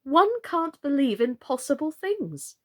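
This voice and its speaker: Woman playing a high-voiced polite little girl. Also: very animated, unhurried. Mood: earnest, doubtful. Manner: polite, questioning.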